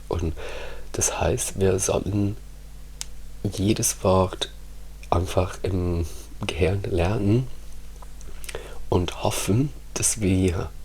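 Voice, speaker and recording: A man with a very low voice whose first language is Italian speaks in a hushed, soft-spoken ASMR ramble. He talks very slowly and often drops into whispers, pausing and restarting his words. A faint hum sits behind him. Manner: slow, hushed, drifting into whispers, halting restarts. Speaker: ASMR creator.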